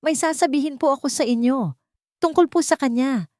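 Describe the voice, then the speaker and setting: A woman's voice: high voice, moderate pace, a little mellow, dry recording, clean studio sound, pitched above the performer's own voice, lightly acted. A woman voicing a frightened, respectful housemaid pleading desperately in an audio love drama. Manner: desperate, deferential.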